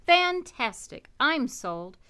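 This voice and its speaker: A woman's voice: high voice, very animated, slow, bright, forceful tone. A woman performing a scripted radio ad, eager and enthusiastic.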